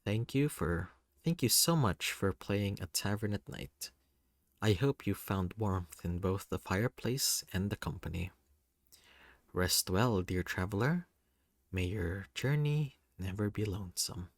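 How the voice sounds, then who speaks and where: A man's voice: on the low side, measured pace, dry recording, studio quality. A man reading scripted narration from a story game aloud.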